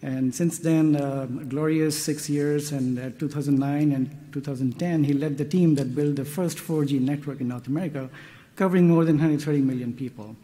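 A middle-aged man at a podium microphone, reading out a formal speaker introduction in a measured way with small hesitations. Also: fairly high pitch, fairly even intonation, mellow. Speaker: session chair introducing speaker. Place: conference stage at a podium.

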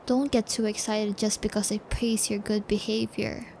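A woman's voice: mid-range voice, little pitch movement, creaky, a little mellow, a little hiss or hum, lightly acted. A woman playing a sadistic, possessive psychopath who keeps a captive pet, taunting and gloating in an intimate ASMR audio roleplay. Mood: disgusted, belittling. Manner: taunting, gloating, giggly, drawn-out threats.

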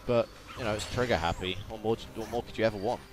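A man's voice: lowish voice, fast. A man giving casual let's play commentary as the host of a gaming video. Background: video game music and sound.

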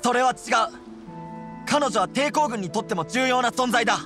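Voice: medium pitch, expressive, normal speed, close to an everyday voice. A performer playing an earnest, loyal and fiery young male resistance general in an anime game, speaking resolutely. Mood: firm, protective. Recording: prominent music under the voice.

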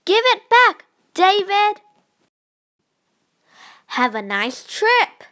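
A woman's voice: very high voice, big pitch swings, extremely slow, sharp tone, pitched above the performer's own voice, exaggerated voice. A woman voicing an annoyed, bossy big brother in a picture book, taunting and exasperated.

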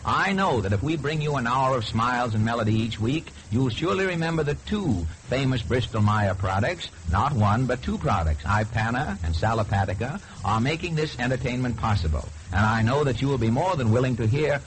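A man's voice: mid-range voice, expressive, brisk, hardly any pauses. A man hosting a radio show with scripted, wry 1930s-style patter. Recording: lightly noisy 1930s radio recording.

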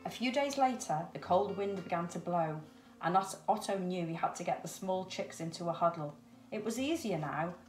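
A woman's voice: on the low side, lively intonation, moderate pace, faint music behind. A middle-aged woman reading a children's story expressively. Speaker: storybook reader. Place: indoors, small room with cupboards. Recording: roomy echo.

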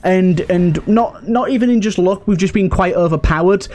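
A man's voice: high voice, normal speed, a little mellow. A man hosting a gameplay video, thinking aloud in short remarks as he gives casual commentary. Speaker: gameplay host.

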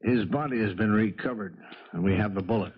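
An actor playing a down-to-earth, doubting detective sidekick with blunt delivery in a 1970s radio mystery. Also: male voice, on the low side, fairly quick, breathy edge. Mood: matter-of-fact. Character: skeptical, down-to-earth male police detective sidekick. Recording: muffled 1970s radio broadcast.

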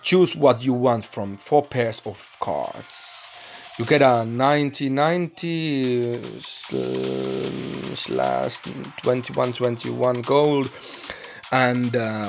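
A young man from Finland, speaking English with a Finnish accent as his second language, commenting excitedly and swearing while recording a mobile game. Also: mid-range voice. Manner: swearing, excitable, thinking aloud, broken English. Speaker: gaming channel host.

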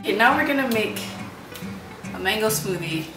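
A woman's voice: mid-range voice, expressive, normal speed, over music. A young woman making a casual cooking vlog in her home kitchen, talking hesitantly and trailing off, recorded from across the room. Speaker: vlogger. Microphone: camera mic from across the room. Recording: roomy kitchen audio, distant mic.

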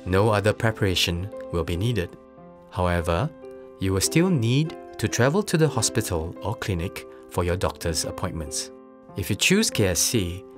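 A man narrating scripted health information in a calm, even, explanatory way. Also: low-pitched. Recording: voice-over with loud background music.

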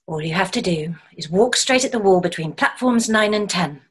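An actress playing a reassuring, gently wry mother at a stage play table read. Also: medium pitch, expressive, moderate pace, slightly rough.

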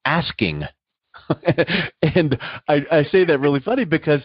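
A man's voice: on the high side, sing-song, measured pace, husky, no room sound, muffled recording. A middle-aged man hosting a casual interview, self-assured and emphatic, repeating words to stress his points. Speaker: podcast host and interviewer.

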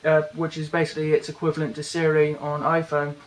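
A young British man casually walking through a phone's features in a bedroom, talking haltingly with many fillers and restarts. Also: a little high, monotone, rough recording. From Britain. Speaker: tech reviewer. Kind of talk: casual hands-on product walkthrough. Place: indoors, bedroom.

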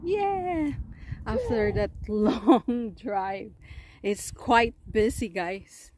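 A woman's voice: fairly high pitch, animated, a little hiss or hum. A Filipino woman in her thirties, chatting casually to viewers on a phone camera while camping outdoors. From Philippines. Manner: slow, chatty, addressing viewers as guys. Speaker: travel vlogger. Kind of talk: casual vlog chat. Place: outdoors at a glen campsite. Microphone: phone held at arm's length. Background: loud background music.

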